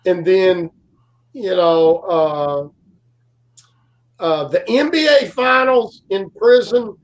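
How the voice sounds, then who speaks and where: Man, 40s, guest co-host; loud carrying voice, pitched high, slow unhurried rambling delivery. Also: low-quality recording. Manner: rambling storyteller, many fillers and restarts. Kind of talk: casual live-stream chat.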